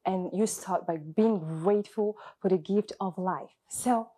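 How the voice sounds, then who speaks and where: An upbeat woman hosts a studio talk show, pressing her encouragements with emphasis and a hopeful, faith-tinged warmth of message. Her speaking pitch sits in the middle of the usual range for a woman. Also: unhurried. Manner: encouraging, emphatic, with spiritual wording. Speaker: host.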